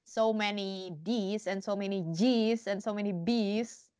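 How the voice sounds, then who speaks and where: A woman's voice: medium pitch, fairly even intonation, unhurried, a little mellow, no echo. A young woman from Indonesia chatting casually in English, encouraging and repeating words as she searches for them. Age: young adult. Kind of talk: casual chat.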